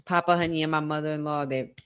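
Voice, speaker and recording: A woman co-hosting a wrestling watch-along, talking in an excitable, chatty fan style with lots of "like". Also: sits low, fast, clear, resonant tone. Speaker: podcast co-host. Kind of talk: casual watch-along commentary.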